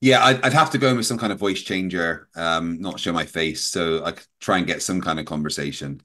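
Middle-aged guy on a video call, low voice, earnest, halting a lot. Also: pushed and bright. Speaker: co-host. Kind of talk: casual livestream chat.

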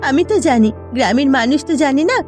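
A woman's voice: sits high, fast talker, a little mellow, lightly acted. A woman playing a weary, fretful but caring village housewife in a cartoon, complaining and pleading dramatically. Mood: upset, aggrieved. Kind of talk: Bengali cartoon voice acting. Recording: music behind the voice.

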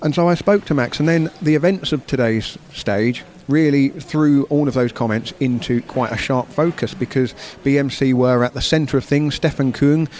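A man's voice: mid-range voice, moderate pace, few pauses, a little mellow. A man co-hosting a cycling podcast, giving conversational race round-ups and commentary. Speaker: sports podcast co-host. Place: bar of a sports complex.

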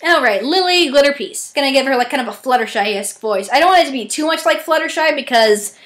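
A woman's voice: high voice, lively intonation, fast talker, a bit bright. A young adult woman chatting casually in a rambling, self-deprecating way about auditioning as an amateur voice actor. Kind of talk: casual chatty vlog narration. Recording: echoey room.

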